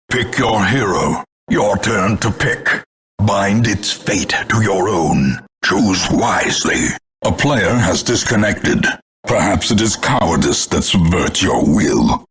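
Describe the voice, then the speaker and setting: Ominous, slow, deep gothic announcer. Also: male voice, a bit bright, exaggerated voice. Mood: ominous, grave. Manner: portentous, grandiloquent, dramatic. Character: ominous older male gothic announcer, grandiloquent, grim, darkly scornful. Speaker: game announcer. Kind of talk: theatrical scripted game announcements.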